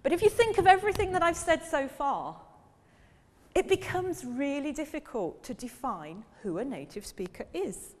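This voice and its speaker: A woman's voice: sits high, animated, moderate pace. A woman professor giving a public lecture on language teaching, asking the audience questions as she goes. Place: lecture hall. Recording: long reverb of a lecture hall.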